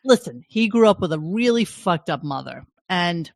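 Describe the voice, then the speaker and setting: A man's voice: sits high, lively intonation, moderate pace, vocal fry, dry recording, clean studio sound. A man hosting a podcast that recaps a radio show, telling the story in a crude, meandering, casual way. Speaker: podcast host. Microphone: headset or desk mic on a live stream.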